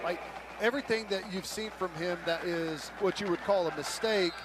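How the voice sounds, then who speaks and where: Male radio analyst, informal football commentary, high register, noisy stadium. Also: normal speed. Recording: noisy stadium broadcast with crowd. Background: crowd and stadium noise.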